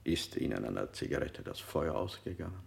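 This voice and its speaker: A creepy radio-play interrogator, super deep, gravelly, firing odd questions. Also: male voice, sing-song, a little fast, full character voice. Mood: probing. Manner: insistent questioning with sudden pitch leaps. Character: cold probing male interrogator asking unsettling questions. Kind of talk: radio drama acting.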